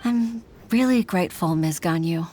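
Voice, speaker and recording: A woman playing an earnest, respectful young official in a video game, with expressive and polite delivery. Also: lowish voice, moderate pace, slight background noise, lightly acted. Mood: grateful.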